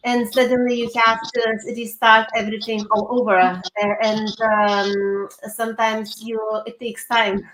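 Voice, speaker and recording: A woman from Lithuania with a Lithuanian accent, answering interview questions in an earnest, halting way as a guest. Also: fairly high pitch, flat delivery, fairly bright tone. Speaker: interview guest, career coach. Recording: echoey room with background noise.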